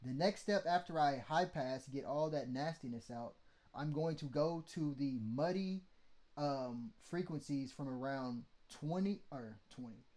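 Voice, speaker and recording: A man's voice: on the high side, measured pace, a little mellow. Blunt casual man teaching vocal mixing. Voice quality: mid-range voice. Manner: loose and blunt, swears freely, lots of "like" and "okay?". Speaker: mixing tutorial host.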